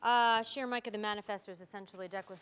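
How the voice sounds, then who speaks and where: A woman reporter talking off air, informally and haltingly. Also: mid-range voice, fairly even intonation, fairly bright tone, smooth. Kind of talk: off-air chat and halting rehearsal. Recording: faint noise and clicks.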